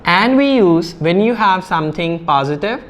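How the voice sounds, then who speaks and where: A man's voice: sits high, lively intonation, normal speed, fairly bright tone. A man with an Indian English accent teaching an IELTS grammar lesson at a whiteboard, explaining step by step and repeating key words.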